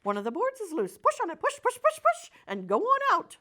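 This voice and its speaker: A woman's voice: mid-range voice, huge pitch swings, brisk, a bit bright. A woman voicing a bossy, chattering female goose who repeats her words and stammers.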